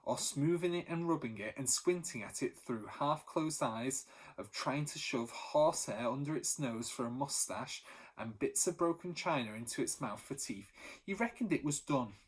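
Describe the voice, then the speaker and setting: A man, a primary school teacher, reading a children's novel aloud with lively emphasis. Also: mid-range voice, moderate pace, husky. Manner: lively storytelling with animated emphasis.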